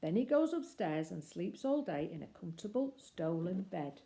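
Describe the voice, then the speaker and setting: A woman reading a children's story aloud expressively, with deliberate phrasing and emphatic pauses. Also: fairly low pitch, big pitch swings, dark tone.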